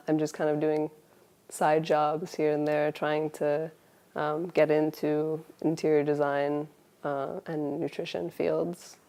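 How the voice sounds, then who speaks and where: A woman's voice: low-pitched, creaky, a little mellow. A woman in her twenties, born in Finland, telling her love story in a casual interview, laughing often and restarting her sentences. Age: 20s.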